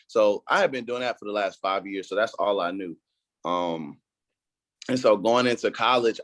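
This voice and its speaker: Young man, podcast guest, low voice, dry close sound, relaxed slangy storyteller. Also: no echo. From Houston, Texas. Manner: laid-back, slangy and repetitive. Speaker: guest entrepreneur. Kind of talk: casual podcast interview.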